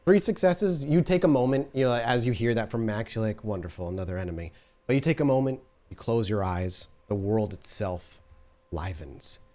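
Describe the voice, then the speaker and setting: A man's voice: lowish voice, animated, normal speed, a little mellow. Man narrating a tabletop horror game. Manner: descriptive, restarts mid-sentence. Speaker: storyteller.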